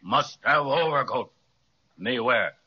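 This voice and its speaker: An actor playing a stolid, demanding wooden statue in an old radio serial, grunting and speaking stereotyped broken English. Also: male voice, mid-range voice, animated, slow pace, sharp tone, husky, heavily acted. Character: stolid, demanding walking wooden cigar-store statue, male. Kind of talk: 1930s radio children's serial acting. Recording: dull 1937 radio recording.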